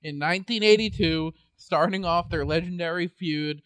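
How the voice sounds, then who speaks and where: Male co-host, thirties, fairly high voice, casual conversational delivery, dry close sound. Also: moderate pace, a bit bright, clean tone, no room sound. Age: 30s. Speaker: podcast co-host. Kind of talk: casual chat.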